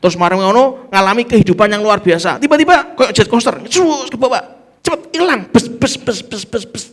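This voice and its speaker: High-voiced Javanese-accented preacher. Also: male voice, animated, brisk. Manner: emphatic, calls for amen; mixes in local Javanese phrases. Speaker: pastor. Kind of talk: preaching a sermon.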